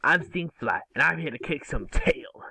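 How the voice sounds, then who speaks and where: A brash cartoon boy hero, played by a man, cocky and teasing. The voice sits a little high and is recorded dry and close. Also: lively intonation, moderate pace, vocal fry, pushed and bright, husky, no room sound, full character voice. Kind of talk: cartoon table-read acting.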